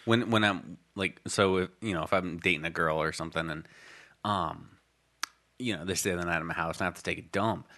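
A man's voice: deep voice, brisk, pushed and bright. A young man in casual chat, telling rambling stories with many restarts. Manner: rambling storyteller, frequent restarts and fillers.

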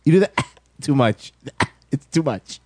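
A man's voice: mid-range voice, lively intonation, measured pace, darkish tone, dry recording. A man co-hosting a podcast, talking in casual, teasing banter that easily turns exasperated. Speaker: podcast co-host.

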